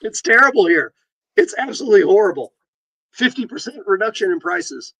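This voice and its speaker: A man co-hosting a casual real estate talk show, reading headlines aloud and joking, with halting restarts. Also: sing-song, fairly bright tone, no room sound, low-quality recording. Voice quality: deep voice. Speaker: podcast host and realtor.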